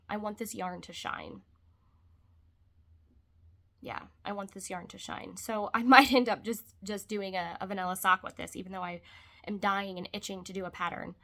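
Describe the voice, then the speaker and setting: A young adult woman vlogging from her couch at home, congested, rambling in casual chat. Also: medium pitch. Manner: rambling, chatty, trailing off into quiet asides.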